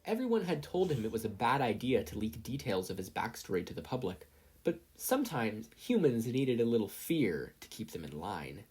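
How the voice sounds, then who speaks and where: A woman's voice: rumbling low, big pitch swings, moderate pace, a little mellow, slightly rough. A woman narrating a scripted fan-fiction story as a podfic reader.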